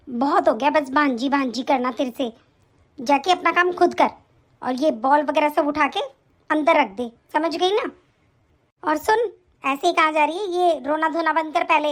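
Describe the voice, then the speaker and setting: A woman's voice: high voice, close to an everyday voice. A woman doing a bossy, nagging aunt. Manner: scolding, nagging, quick. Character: bossy scolding aunt and daughter-in-law, middle-aged. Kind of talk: comic family skit acting.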